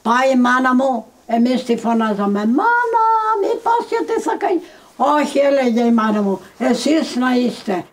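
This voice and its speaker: A woman's voice: sits high, big pitch swings, moderate pace. A Greek woman in her nineties with a Greek accent, telling memories casually in simple, repeating phrases. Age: 90s. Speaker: village resident recounting memories. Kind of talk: casual storytelling.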